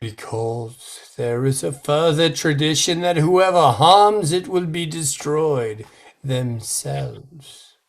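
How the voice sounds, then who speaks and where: Guy doing a solemn old preacher, slow and formal, radio drama vibe. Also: on the high side, animated, vocal fry, exaggerated voice. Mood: grave. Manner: grave, measured, formal. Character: grave older male village preacher, solemn.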